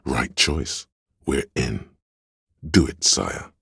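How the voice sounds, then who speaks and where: An actor playing a flamboyant, sly inner voice of drama in a video game, speaking like a courtly servant with a theatrical, arch manner and a husky, gravelly delivery. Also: male voice, extremely low pitch, expressive, drawn-out words, fairly bright tone, no echo, studio quality, heavily acted. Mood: sly, urging.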